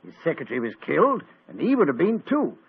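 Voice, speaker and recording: An actor playing a plodding, folksy police inspector who rambles with homely sayings, on a tinny old radio broadcast. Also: male voice, on the high side, wildly swooping pitch, fast, creaky, slightly rough, exaggerated voice. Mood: earnest.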